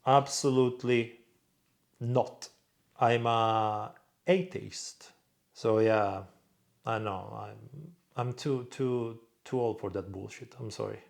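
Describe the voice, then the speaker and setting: A young man from Croatia speaks in a relaxed vlog Q&A. He answers fans off the cuff, bluntly, and swears freely. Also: lowish voice, takes it slowly, vocal fry, long pauses. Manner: blunt, swears freely, reads viewer questions aloud in a louder voice. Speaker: vlog host answering viewer questions. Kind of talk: casual Q&A monologue.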